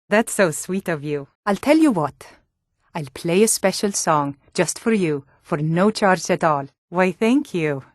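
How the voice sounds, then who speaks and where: An actress voicing plain-spoken Nord townswomen, guards and traders in scripted fantasy video game dialogue. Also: female voice, medium pitch, no echo, studio quality, natural voice. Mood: soft, grateful.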